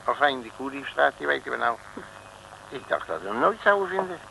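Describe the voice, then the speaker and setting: An actor playing a cheeky, sardonic working-class detective in an old radio comedy serial. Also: male voice, medium pitch, very animated, fairly quick, vocal fry, sharp tone, full character voice. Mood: grumbling. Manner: grumbling, sardonic, colloquial. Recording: noisy old radio recording.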